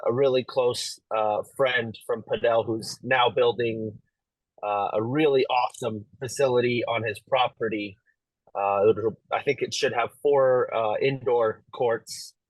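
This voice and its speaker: A man's voice: mid-range voice, dry recording, poor audio. Easygoing young man, dry close sound. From Las Vegas, USA. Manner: relaxed, easygoing, lots of fillers and light laughs. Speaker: pro pickleball player, guest. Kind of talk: casual interview talk.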